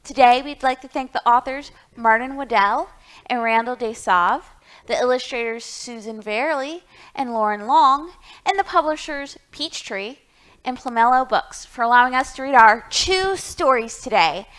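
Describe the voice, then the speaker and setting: A woman's voice: sits high, lively intonation, moderate pace, a bit bright. A woman hosting a library storytime, talking to young children and reading picture books aloud with questions and emphasis.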